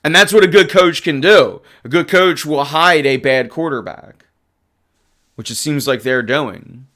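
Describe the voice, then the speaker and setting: A man hosting a live sports talk stream, casually answering chat and arguing football. His voice is hoarse, and he gets emphatic when he runs through stats. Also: fairly high pitch, measured pace. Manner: animated and emphatic, rattling off stats. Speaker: livestream sports show host.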